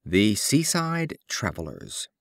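Male audiobook reader for fables: unhurried, animated intonation, expressive storytelling. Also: lowish voice, vocal fry, dry recording, clean studio sound. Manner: measured, expressive storytelling delivery. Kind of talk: scripted storybook narration of fables.

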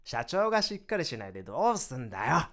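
A man's voice: mid-range voice, big pitch swings, fast talker, a bit bright. A man acting in a radio drama as an upbeat, chatty vice president of a new startup. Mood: puzzled, concerned. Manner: exuberant, chatty, swinging from cheers to concern.

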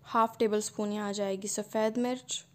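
A woman, hosting a cooking channel and calmly narrating a recipe step by step. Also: medium pitch, normal speed. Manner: calm step-by-step instruction.

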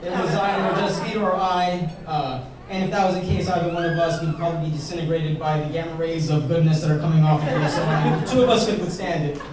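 A man's voice: fairly high pitch, steady tone. Joking best man in an echoey hall. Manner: joking, self-mocking, pausing for laughs. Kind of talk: reading a wedding toast. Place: large banquet hall at a wedding, indoors. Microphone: handheld mic, filmed by a far camera. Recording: echoey hall from far off, crowd laughing.

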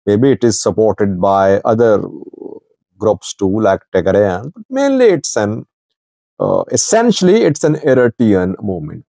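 Middle-aged male commentator, mellow and halting. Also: on the low side, big pitch swings, gravelly, dry recording. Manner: halting delivery in short broken phrases. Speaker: news commentator, channel host. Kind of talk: informal political news commentary to camera. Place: small indoor office with wood-panelled wall. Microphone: small clip-on lavalier at chest.

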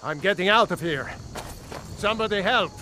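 An actor playing a loyal, earnest, plain-spoken rebel soldier in a fantasy video game. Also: male voice, high voice, animated, moderate pace, sharp tone, slight background noise. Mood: panicked. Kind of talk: video game dialogue acting.

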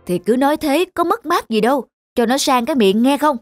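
A man's voice: very high voice, animated, a little fast, faint music behind. A man voicing a proud, instructing village father in a folk-tale cartoon. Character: village father, proud, embarrassed by poverty, instructing his son.

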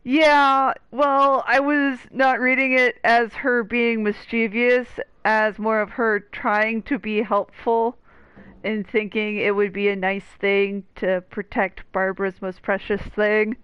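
Unhurried woman doing game commentary. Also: on the high side, level tone, clear, resonant tone, no echo. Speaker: gaming streamer. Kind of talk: casual game commentary, reading dialogue aloud. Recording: dull, muffled mic over game music. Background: game music.

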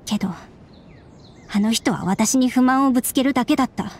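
An actress voicing a subdued young woman in a Japanese game, speaking in a measured, wistful and serious way. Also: sits high. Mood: wistful, hurt. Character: subdued, resigned young woman, estranged daughter of strict scholar. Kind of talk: Japanese anime game voice acting.

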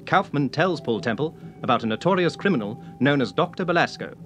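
A man's voice: a little high, animated, brisk, a bit bright. A British man reading scripted narration as an old-time radio announcer. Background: brass music.

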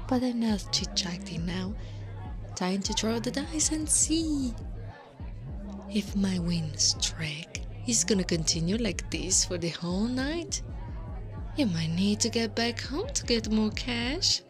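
Woman voicing older female slime monster, ASMR roleplay, teasing, playfully commanding, breathy half-whispers, unhurried pace, clear background music. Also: mid-range voice. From Italy. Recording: music behind the voice.

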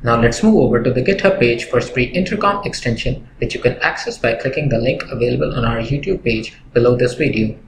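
Young guy doing a scripted software tutorial, echoey room, bit of noise and clicking. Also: medium pitch, a little fast, hardly any pauses. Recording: roomy echo with background noise, clicks.

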